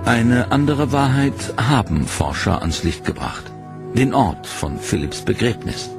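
A man's voice: mid-range voice, sing-song. Man narrating a history documentary. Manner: measured, dramatic storytelling delivery. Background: loud dramatic music.

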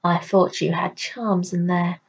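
Woman playing a brooding masked man, curt and cold, low husky voice, muffled recording. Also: level tone, measured pace, exaggerated voice.

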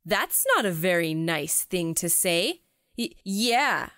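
A woman voicing a gruff, menacing cave-guarding monster with a grumbling, imperious manner in a children's comic. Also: lowish voice, lively intonation, slow, sharp tone, no echo, studio quality, exaggerated voice. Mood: sulky.

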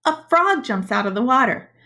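A woman reading a storybook aloud to young children as a schoolteacher, in a deliberate, expressive manner. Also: on the high side. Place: indoors, webcam screen recording.

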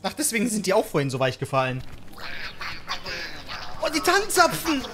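Young man commentating a game, swooping pitch. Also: wildly swooping pitch, normal speed, background noise. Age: young adult. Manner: reactive, repeats words in bursts, exclaims. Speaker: let's player. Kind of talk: excited gaming commentary. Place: indoor gaming setup. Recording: game music behind the voice.

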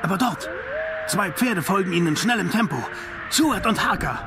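An actor playing an urgent, commanding professor on a vampire hunt in a horror radio drama. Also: male voice, high-pitched, expressive, normal speed, over music. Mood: tense, watchful.

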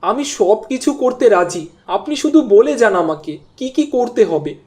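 A woman's voice: a little high, sing-song, normal speed. A woman voicing an anxious but determined young man in a cartoon. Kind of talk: cartoon voice acting. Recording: very loud music behind the voice.

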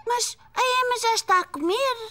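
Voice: very high voice, normal speed, bright, forceful tone. A performer voicing an anxious, caring small child in a children's cartoon dub, with a European Portuguese accent. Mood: concerned. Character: anxious, caring four-year-old boy and his little playmates.

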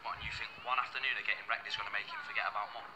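A man's voice: on the low side, fairly even intonation, very fast, heavy background noise, lightly acted. A man acting a cocky, needling character in a naturalistic television soap drama. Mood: cocky, argumentative.

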